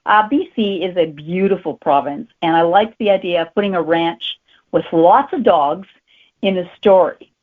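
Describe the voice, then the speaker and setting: A woman's voice: lowish voice, normal speed. A woman talking casually as an author being interviewed. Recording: tinny phone-like line.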